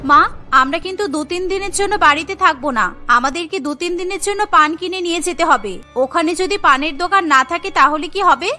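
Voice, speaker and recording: A woman voicing a sly, excitable young daughter-in-law in a family cartoon. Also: very high-pitched, brisk, faint music behind. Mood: eager, coaxing.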